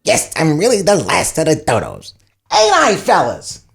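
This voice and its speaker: A man's voice: a little high, wildly swooping pitch, normal speed, vocal fry, a bit bright, breathy edge, faint music behind, full character voice. A man voicing scratchy cartoon animal characters, a pig and a bird, with stammers, in a script table read. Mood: gleeful. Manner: stuttering. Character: stuttering cartoon pig and scratchy long-beaked dodo bird.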